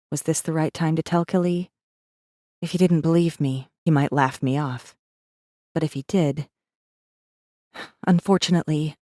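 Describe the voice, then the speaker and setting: A woman's voice: deep voice, warm tone, dry recording, studio quality, natural voice. An actress playing a guarded, wry rebel messenger, narrating her own story in an intimate, wary, sardonic way for a space fantasy audiobook. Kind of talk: first-person dramatic audiobook narration.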